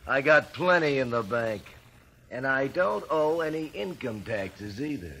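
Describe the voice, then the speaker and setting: An actor playing a defensive, boastful man on the witness stand in an old-time radio drama, gruff and defiant. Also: medium pitch, sing-song, takes it slowly, a bit bright, slight background noise, full character voice.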